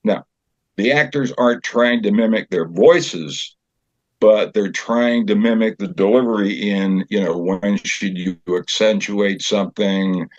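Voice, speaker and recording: An older man chatting as an interview guest, telling anecdotes in a halting, conversational storyteller's way. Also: lowish voice, darkish tone, dry recording. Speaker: guest, community theater director and actor. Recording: slightly dull, dry recording.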